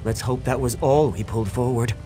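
An actor playing a haughty, self-important mage scholar in a video game, dismissive of others. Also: male voice, medium pitch, brisk, music in the background, natural voice. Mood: musing.

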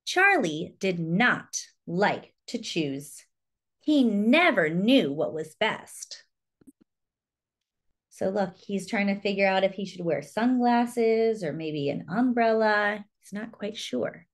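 Slow, very animated woman reading a storybook. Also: medium pitch. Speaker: storybook reader and host. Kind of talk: expressive children's read-aloud with asides to young listeners.

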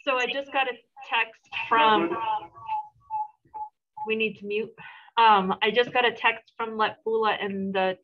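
A woman's voice: on the high side, fairly even intonation, measured pace, long pauses. A woman in her thirties, a teacher, talking and reading on a video call from a school room. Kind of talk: casual talk and poem reading on a video call. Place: classroom or school office with bookshelves. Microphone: laptop mic on a video call. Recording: slightly dull video-call audio.

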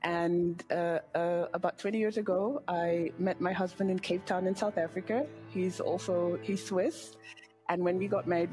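A woman in her forties from Zambia, a panel guest speaking calmly and reflectively on a studio stage through a headset mic. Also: fairly low pitch, poor audio. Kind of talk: panel discussion.

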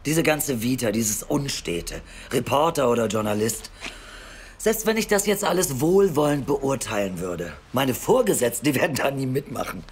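Stern, guarded welfare official, radio drama; lively intonation, official reproachful manner, faint noise. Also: female voice, extremely low pitch, moderate pace. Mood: stern, doubtful. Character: stern, guarded female youth welfare official under pressure. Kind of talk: naturalistic German radio drama acting.

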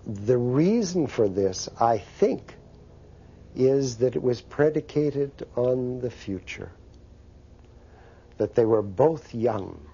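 A man reflecting in an interview in a measured, thoughtful way. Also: medium pitch, lively intonation, gravelly, dark tone. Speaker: interviewee recalling a colleague. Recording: dull archival interview with faint noise.

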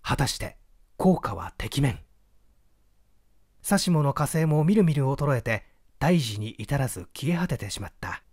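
Animated male mystery narrator, dry sound. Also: mid-range voice, unhurried, slightly rough, no room sound. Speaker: audiobook narrator. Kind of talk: dramatic scripted mystery narration.

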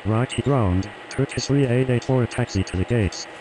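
Male pilot played by a man, clipped procedural radio talk with clear aircraft noise. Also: mid-range voice, moderate pace, close to an everyday voice. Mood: matter-of-fact. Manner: clipped, formulaic phraseology. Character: male airline pilot making formal radio calls. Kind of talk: scripted air traffic radio calls.

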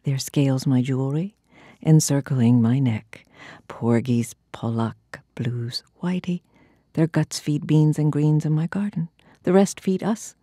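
A woman with a husky, breathy voice gives a dramatic spoken-word poetry recital, phrasing deliberately and theatrically and often dropping to a hush. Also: sits low, no echo, studio quality. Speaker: poetry reader.

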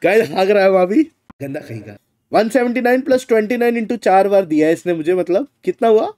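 A high-voiced man streams games and chats casually. His pitch swings widely as he teases and protests in mock indignation, with plenty of exclamations. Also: animated, a little fast, warm tone, no room sound, studio quality. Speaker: game streamer. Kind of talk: casual livestream banter. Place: small room indoors.

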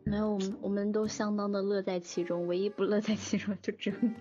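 A young woman chats casually with her production team in a mid-range voice. The online recording is a little muffled, and music plays clearly behind her. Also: medium pitch, little pitch movement, quick pace, mellow. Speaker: audio drama production staff. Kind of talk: casual group chat. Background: background music.

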